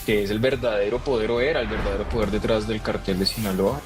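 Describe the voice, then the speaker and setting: A man presents a news segment with deliberate, formal delivery. His voice is lower than most men's, and music runs clearly underneath. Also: fairly even intonation. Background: music bed.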